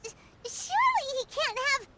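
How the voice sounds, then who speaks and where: An actress playing a tiny, childlike fairy companion in a video game, squeaky, excitable and anxious. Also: female voice, normal speed, full character voice. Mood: worried. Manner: excitable, worried. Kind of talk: cartoonish video game voice acting. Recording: game music under the voice.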